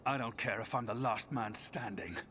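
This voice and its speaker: A man's voice: mid-range voice, normal speed, over loud music, lightly acted. A man playing a bitter grieving soldier. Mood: grim, resolute. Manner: intense, self-blaming.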